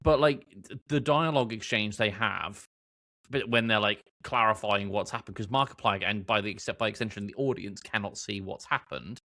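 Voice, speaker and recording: A man's voice: lowish voice, moderate pace, sharp tone. A man chatting casually as a podcast panellist, informal and emphatic in how he gives his views. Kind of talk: casual chat.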